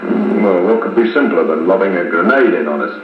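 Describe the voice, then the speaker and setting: An actor playing a blunt, cheeky but loyal soldier in a 1950s radio serial. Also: male voice, deep voice, lively intonation, brisk. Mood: grim, matter-of-fact. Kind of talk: 1950s radio drama acting. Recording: tinny, echoey, noisy 1950s wire recording.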